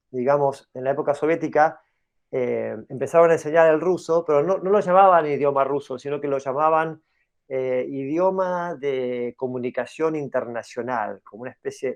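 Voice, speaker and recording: A middle-aged man who grew up in New York, explaining at length as an interview guest, hesitant with many fillers and restarts. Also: medium pitch. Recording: dull lo-fi audio.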